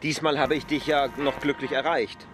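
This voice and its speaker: An actor playing a restless, evasive land surveyor with an insistent, brusque manner in a radio play. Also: male voice, medium pitch, flat delivery, normal speed, music in the background, close to an everyday voice. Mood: insistent, curt. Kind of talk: literary radio play acting.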